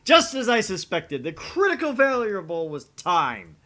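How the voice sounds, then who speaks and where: A man's voice: high-pitched, huge pitch swings, measured pace, bright, forceful tone, voice pushed up, exaggerated voice. A man voicing a self-styled mad scientist, a grandiose young man making pompous, theatrical declarations in a visual novel game. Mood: triumphant.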